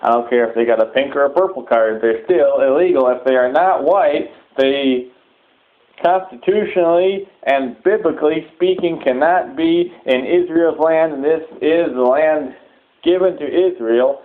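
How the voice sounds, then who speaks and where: A man delivering a sermon-like public address in halting, deliberate phrases, repeating words for emphasis. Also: on the high side. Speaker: preacher giving a public address. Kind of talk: sermon-like extremist political address. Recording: muffled, thin phone-like audio.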